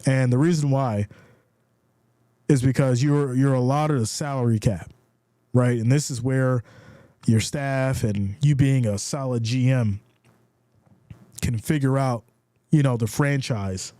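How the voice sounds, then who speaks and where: A man's voice: mid-range voice, unhurried, long pauses, dry recording. A man with a congested voice doing casual play-by-play commentary on a live stream, calling plays and reading out viewer comments. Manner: builds excitement calling plays.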